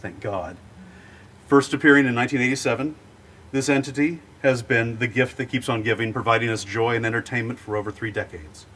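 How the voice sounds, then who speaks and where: A man hosting an interview in a sound booth, running a jokey quiz game with playful deadpan punchlines. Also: medium pitch, fairly quick, slightly rough. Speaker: host.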